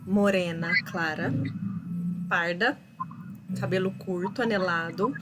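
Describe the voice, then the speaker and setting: Slow, explaining woman host. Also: on the low side, heavy background noise. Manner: slow, explanatory, thinking aloud with fillers. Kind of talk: casual live chat. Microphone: video call.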